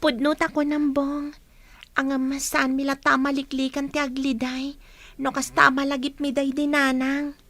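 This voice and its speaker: A woman's voice: high-pitched, little pitch movement, normal speed, fairly bright tone, no room sound. A woman playing a dutiful, caring schoolgirl, the eldest daughter, in a radio drama. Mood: earnest. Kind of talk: Ilocano radio drama acting.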